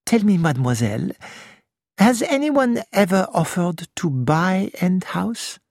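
A reader voicing an elderly male detective who speaks gently and slowly, with a self-assured manner. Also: medium pitch, very animated, vocal fry, dry recording, studio quality, pitched above the performer's own voice, heavily acted. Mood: measured. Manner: gentle, measured, slow.

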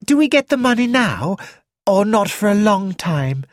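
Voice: mid-range voice, big pitch swings, unhurried, no echo, pitched above the performer's own voice. A narrator voicing a flighty, childish young actress who exclaims eagerly about money, read in a close, dry studio recording.

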